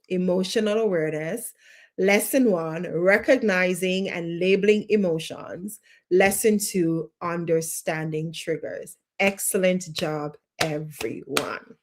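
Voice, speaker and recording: A woman coach, leading a live online class and encouraging her viewers warmly. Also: lowish voice, takes it slowly. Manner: encouraging, repeats short questions, reads out viewer comments. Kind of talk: live-streamed class, talking to viewers in chat.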